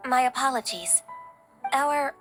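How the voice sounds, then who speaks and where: Solemn, high-voiced secretary. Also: female voice, expressive, music in the background. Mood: formal. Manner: measured, formal. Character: solemn, formal female secretary, stern, dutiful and earnest. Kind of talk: video game voice acting.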